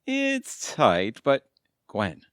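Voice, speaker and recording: Guy playing clueless goofy middle aged husband, super animated up and down voice, dry studio sound, comedy audio play. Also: mid-range voice, extremely slow, smooth, no echo, exaggerated voice. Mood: eager, clueless. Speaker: voice actor playing the lead. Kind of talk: community audio theatre comedy acting.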